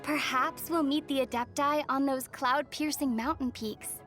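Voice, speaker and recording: A woman voicing a composed young female traveler in a video game, gentle, measured and thoughtful, with a wry edge. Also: sits high, steady tone, soft background music. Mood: musing. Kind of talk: video game character voice lines.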